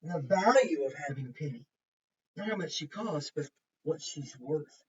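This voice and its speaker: A woman's voice: sits low, lively intonation, measured pace, a little mellow, breathy edge. A woman kindergarten teacher reading a picture book aloud to young children, with simple explanations and chatty asides. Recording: muffled, distant microphone.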